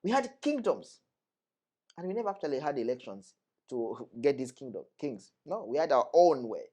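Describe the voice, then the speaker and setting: Young Congolese-born man, guest voice, passionate and emphatic, middle-pitched. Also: sing-song, moderate pace, warm tone. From Democratic Republic of Congo. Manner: passionate, emphatic, repeats key phrases. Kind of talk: earnest discussion.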